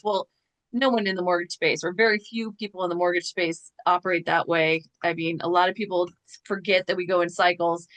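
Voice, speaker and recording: A woman hosting a chat show, talking casually with quick asides and rhetorical questions. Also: mid-range voice, a bit bright, no echo, poor audio. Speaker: podcast host.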